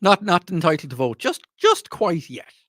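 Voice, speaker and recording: A man's voice: high voice, huge pitch swings, normal speed, a bit bright, no echo, studio quality. An Irish man hosting a talk radio show, rambling and repeating himself with a mocking tone and frequent laughs. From Ireland. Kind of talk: casual talk radio monologue.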